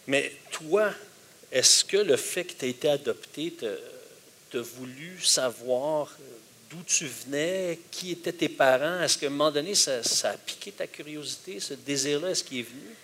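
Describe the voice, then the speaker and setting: Bright-voiced man interviewing. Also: a little high, lively intonation, unhurried, a little hiss or hum. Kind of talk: interview questions.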